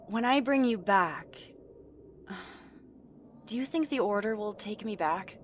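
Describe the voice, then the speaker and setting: A woman's voice: medium pitch, animated, unhurried, a little hiss or hum, natural voice. An actress playing an anxious, earnest young female spellcaster in a fantasy audio drama. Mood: troubled.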